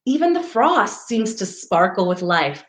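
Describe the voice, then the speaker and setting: A woman reads a picture book to children at an unhurried pace. Her mid-pitched voice rises and falls expressively, and she slips into chatty asides to her young listeners. The sound is roomy and echoing. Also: very animated. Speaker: storybook reader for a parks program.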